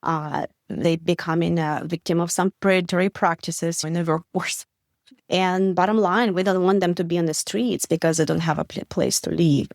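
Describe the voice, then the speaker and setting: Earnest Ukrainian-accented woman, lowish voice. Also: fairly even intonation, normal speed, vocal fry, a little mellow, no room sound. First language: Russian. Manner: earnest, with small stumbles and non-native grammar. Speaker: guest, refugee aid director. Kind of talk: interview answers.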